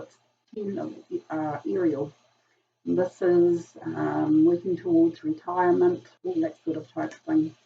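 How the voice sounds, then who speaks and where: Woman from New Zealand, low gravelly voice, tarot reader, distant lo-fi mic with faint hiss. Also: mellow. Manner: chatty, filler-heavy, frequent ums. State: croaky voice from a virus. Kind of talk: casual tarot reading.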